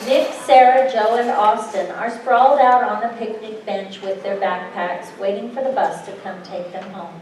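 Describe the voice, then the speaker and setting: A woman's voice: mid-range voice, fairly even intonation, moderate pace, hardly any pauses, darkish tone. A woman reading out scene headings and stage directions as scripted narration at a staged reading. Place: live staged reading in a hall. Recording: echoey hall from far off, faint noise.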